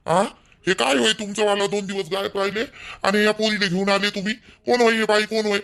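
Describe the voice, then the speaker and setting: A man's voice: high-pitched, little pitch movement, brisk, vocal fry. A man playing an angry young man of the family who scolds and threatens. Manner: shouting, threatening. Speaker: actor in a family drama skit.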